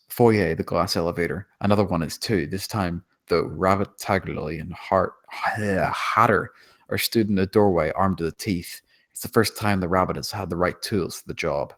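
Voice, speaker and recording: A man with a very low voice narrates a screenplay. He reads out scene descriptions and stage directions as scripted narration. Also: normal speed. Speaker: narrator of screenplay table read.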